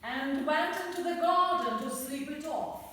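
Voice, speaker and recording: A woman narrating a radio play in a slow, deliberate, dramatic way. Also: high-pitched. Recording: echoey hall from far off, noise.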